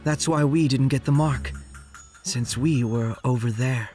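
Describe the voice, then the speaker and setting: A composed young male ninja played by a man with a mid-pitched voice, explaining carefully. It is anime game dialogue with faint music underneath. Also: measured pace, darkish tone, natural voice. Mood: thoughtful. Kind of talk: anime video game cutscene acting.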